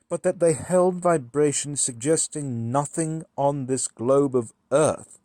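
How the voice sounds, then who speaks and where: A man narrating a literary horror story in a measured, deliberate way, pausing between phrases. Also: fairly high pitch, darkish tone, no echo, clean studio sound. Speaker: amateur audiobook reader.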